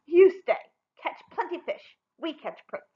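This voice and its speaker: Woman as a shrill, bossy Bigfoot stepsister. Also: very animated, unhurried, warm tone, muffled recording, full character voice. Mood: scornful. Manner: bellowing, taunting broken caveman speech. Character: puny, sour, bossy Bigfoot stepsisters, young females.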